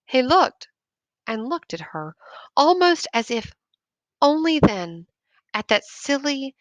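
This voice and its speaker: A woman's voice: sits high, very animated, takes it slowly, no room sound. A woman reading a short story aloud expressively and commenting on it like a teacher.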